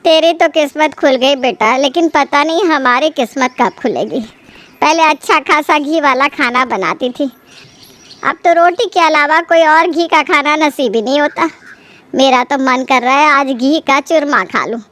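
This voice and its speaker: A grumbling older in-law in a cartoon family drama voiced by a woman. The voice is high, with a plaintive, put-upon manner. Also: normal speed, a bit bright. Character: grumbling older parents-in-law fond of rich food. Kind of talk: animated family story dialogue.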